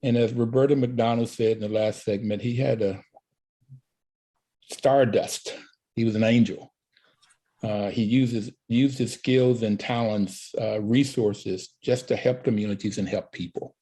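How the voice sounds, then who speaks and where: A man's voice: fairly low pitch, fairly even intonation, measured pace, dark tone, husky. A man hosting a talk show, telling anecdotes in a casual way with fillers. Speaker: radio show host.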